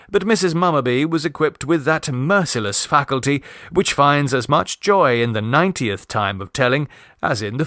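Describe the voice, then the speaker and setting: A man's voice: mid-range voice, a bit bright, dry recording, clean studio sound. A man narrating a comic literary short story as an audiobook reader.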